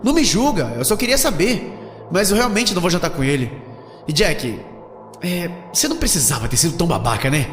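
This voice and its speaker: Echoey, animated young Brazilian male traveler played by an actor. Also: a little high, brisk, slight background noise. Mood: casual, chiding. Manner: expressive, colloquial. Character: friendly young male traveler, the peacemaking friend. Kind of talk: horror audiodrama acting.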